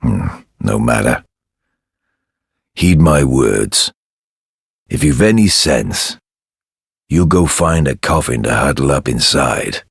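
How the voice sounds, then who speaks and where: A menacing knight from a dark fantasy game. His voice is extremely low, husky and full of gravel, and every slow phrase drips with surly contempt and condescension. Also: very animated, no room sound, studio quality, heavily acted. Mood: scornful menace. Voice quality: very deep, gravelly. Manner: sneering, condescending, drawling.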